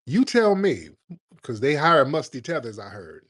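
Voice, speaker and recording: Male host, brash and mocking, fast lively delivery. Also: mid-range voice. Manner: combative, mocking, animated. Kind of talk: live call-in talk show.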